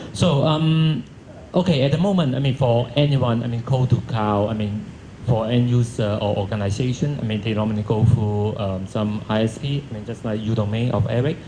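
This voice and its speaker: A noisy live conference recording of a man. He answers as a panelist at a medium pitch, padding sentences with a habitual filler phrase. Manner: habitually inserts "I mean". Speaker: panelist from a cloud security association. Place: multi-purpose function room at a conference.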